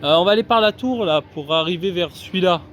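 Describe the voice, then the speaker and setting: A man's voice: high-pitched, fast, a bit bright, soft background music. A man casually vlogging from a busy theme park, chatting to his viewers with lots of fillers. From France. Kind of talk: casual vlog commentary.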